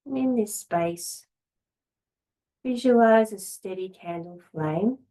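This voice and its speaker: A woman yoga teacher guides a relaxation practice in a medium-pitched voice. Her delivery is slow and calm, with short instructions set apart by long, unhurried pauses. The manner is gentle throughout.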